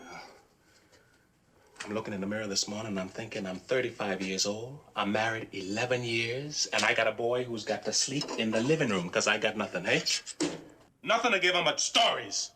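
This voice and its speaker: A man's voice: fairly low pitch, animated, moderate pace, bright, forceful tone, slight background noise. A man playing a frustrated, restless working-class husband and dreamer in his thirties in a stage drama. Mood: desperate, angry. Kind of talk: dramatic acting.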